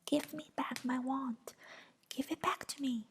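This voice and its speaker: A woman voicing a furious, shrill young witch, whispering her lines in a higher pitch. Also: fairly even intonation, unhurried, a little hiss or hum.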